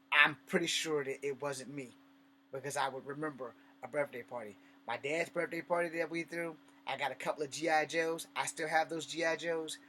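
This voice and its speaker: A man's voice: mid-range voice, pushed and bright, slight background noise, rough recording. A man in his fifties hosting a personal vlog, talking bluntly and bitterly with profanity and emphatic repetition. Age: 50s. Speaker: host.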